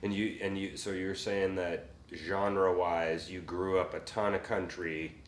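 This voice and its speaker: Male host, low voice, rambling chatty delivery, roomy recording. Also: level tone, unhurried, slight background noise. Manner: rambling, frequent fillers and restarts. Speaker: podcast host and fiddle player. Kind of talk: casual interview chat. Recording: roomy with faint ambience.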